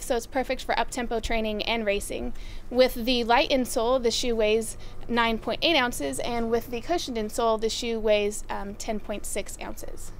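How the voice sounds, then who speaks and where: A young woman talks through new running gear outdoors, her voice sitting a bit high. She sounds upbeat and casual and fills her pauses with "um". A faint hum of outdoor noise stays under her voice. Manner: upbeat, with frequent "um" fillers. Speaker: store product presenter. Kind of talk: casual product rundown. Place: outdoors by farm fields.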